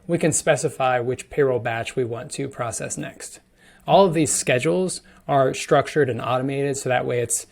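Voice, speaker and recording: A man giving a conversational, explanatory walkthrough of software, as a product demonstrator would, stressing key words as he goes. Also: medium pitch. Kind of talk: screen-share software demo, explanatory walkthrough.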